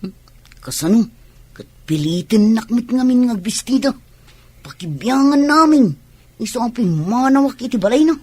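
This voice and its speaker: A woman's voice: on the high side, lively intonation, normal speed, darkish tone, slightly rough, dry recording. A woman playing a polite but wary young niece with an Ilocano accent in a radio drama, hesitant and deferential.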